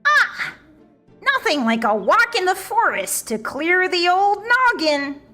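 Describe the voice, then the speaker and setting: Woman voicing a high, chirpy, naive young protagonist. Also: big pitch swings, slow, pushed and bright, faint music behind, exaggerated voice. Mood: cheerful.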